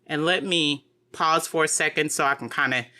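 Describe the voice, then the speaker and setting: Woman vlogger, congested, chatty and rambling, bright tone, home camera mic. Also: low voice, normal speed. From Texas. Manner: chatty, friendly, rambling asides with fillers. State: congested from allergies. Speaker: lifestyle vlogger. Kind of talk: casual direct-to-camera unboxing.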